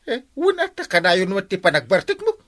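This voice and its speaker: Wildly swooping, exasperated uncle. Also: male voice, high-pitched, huge pitch swings, brisk, a bit bright, heavily acted. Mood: scolding. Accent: Ilocano. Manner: exclamatory, swooping, nagging. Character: exasperated scolding uncle, village captain. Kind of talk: Ilocano radio comedy drama acting.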